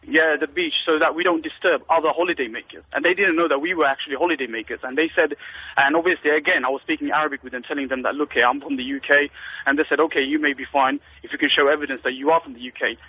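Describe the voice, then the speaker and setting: A man on a call-in line talks quickly, stumbling through restarts and fillers as he tells his story. His voice is bright and a little husky. The sound is thin and phone-like. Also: on the high side, fairly even intonation. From Britain. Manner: rambling, hesitant, many restarts and fillers. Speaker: caller.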